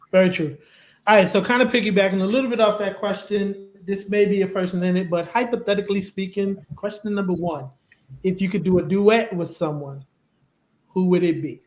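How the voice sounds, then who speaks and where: High-voiced male host in an echoey room. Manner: easygoing, affirming, quick to agree. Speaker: co-host of a web interview show. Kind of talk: casual interview hosting.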